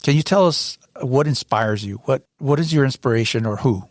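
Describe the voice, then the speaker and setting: Brief: male host, mid-range voice, measured scripted read, dry studio mic. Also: mid-range voice, dry recording. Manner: measured, with some restarts when unscripted. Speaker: podcast host, managing editor. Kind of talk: scripted intro, then interview questions.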